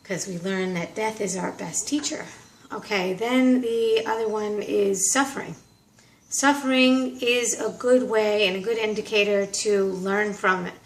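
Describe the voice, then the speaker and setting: A woman vlogger talking casually and earnestly in a rambling, reflective way, her voice slightly hoarse from a cold. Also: mid-range voice, fairly even intonation, unhurried. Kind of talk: casual reflective monologue to camera. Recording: roomy, distant mic, faint background noise.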